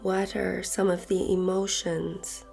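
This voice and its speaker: Slow, soft-spoken woman guiding meditation. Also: on the low side, little pitch movement, creaky. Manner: gentle, unhurried, with long pauses. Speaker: meditation guide, host. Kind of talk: guided meditation, slow and soothing narration. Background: soft background music.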